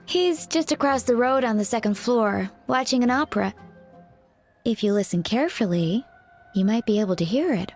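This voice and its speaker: A woman's voice: a little high, animated, measured pace, long pauses, faint music behind. A woman playing a polite, composed, matter-of-fact undertaker in a video game, speaking formally and courteously. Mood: helpful. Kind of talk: video game character dialogue.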